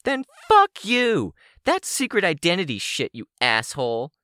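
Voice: mid-range voice, huge pitch swings, a little slow, sharp tone, dry recording, studio quality, pitched above the performer's own voice, exaggerated voice. A narrator voicing a furious, taunting young female supervillain with a shrieking, sneering, profane delivery.

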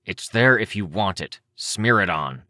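A surly, hulking mutant man from an audiobook, acted out by a man. He growls in a low register, clipped and irritable by temperament, with very dry sound around him. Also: expressive, unhurried, sharp tone, no room sound, exaggerated voice. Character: surly hulking rat-footed male mutant, short-tempered.

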